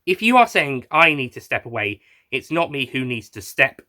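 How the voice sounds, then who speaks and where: Wry sci-fi hero, voiced by a man: flustered, politely exasperated, bright medium-pitched voice. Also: sing-song, normal speed. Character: wry, flustered male time-travelling alien hero.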